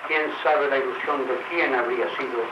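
A man's voice: mid-range voice, steady tone, normal speed, muffled recording, lightly acted. A man playing a solemn, sentimental invisible friend who recites poetry on old-time radio in a declamatory way. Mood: wistful, solemn. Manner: poetic, declamatory. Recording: very noisy, echoey, distant old radio recording.

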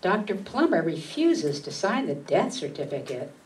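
A woman's voice: on the low side, very animated, moderate pace, darkish tone, a little hiss or hum. A woman playing a fretful, proper society lady in a melodramatic old-time radio mystery. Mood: distressed. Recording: distant mic in meeting room.

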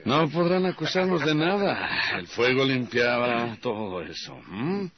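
A man's voice: mid-range voice, sing-song, measured pace. An actor playing a smug, suspicious, coolly commanding male gangster boss in a radio drama. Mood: smug, satisfied. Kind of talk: Spanish-language radio drama acting. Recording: muffled, noisy old radio drama recording.

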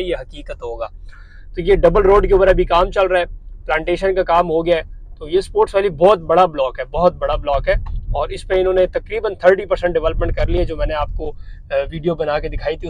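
A man's voice: fairly high pitch, poor audio. A man in his thirties from Pakistan, talking viewers through a property site while driving, in a chatty salesman's tone with a lapel mic inside a car. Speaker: property dealer vlogger. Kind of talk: driving tour commentary.

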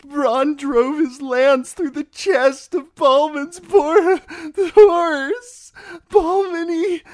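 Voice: very high voice, a little mellow, no echo, voice pushed up, exaggerated voice. A narrator voicing a distraught, weeping noblewoman in an audiobook, stammering in a quavery, sobbing voice. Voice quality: quavery, stammering, breaking into sobs. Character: bruised, weeping noblewoman, frantic and pleading.

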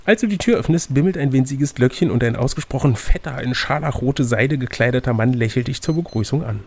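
A man's voice: mid-range voice, brisk, darkish tone, slight background noise. Male game master, mid-range voice, reads fantasy adventure narration aloud and slips into playful banter. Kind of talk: reading a fantasy gamebook aloud with casual banter.

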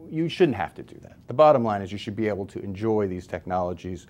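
An American man in his forties with a fairly low voice explains things as an interview guest. He is conversational and leans on key words. He speaks into a lapel mic in a newsroom studio. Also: expressive, fast talker, darkish tone. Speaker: expert guest, civil liberties advocate. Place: newsroom studio, seated at table.

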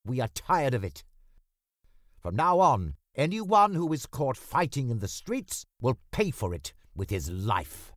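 Man playing stern commanding prince, animated pitch. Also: no echo, clean studio sound. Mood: stern anger. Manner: commanding, slow, declamatory. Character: stern, weary, commanding prince laying down the law. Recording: music behind the voice.

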